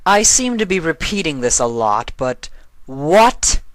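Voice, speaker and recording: Man as female pony goddess, haughty, grumbling, condescending, raised pitch, storybook villain. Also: very animated, measured pace, no echo. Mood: exasperated. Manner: irritable, condescending.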